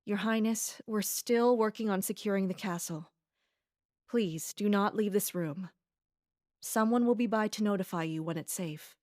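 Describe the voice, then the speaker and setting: A woman playing a composed, perceptive castle guard captain in an audio drama, calm, formal and matter-of-fact. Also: medium pitch, moderate pace, lightly acted. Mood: formal, dutiful.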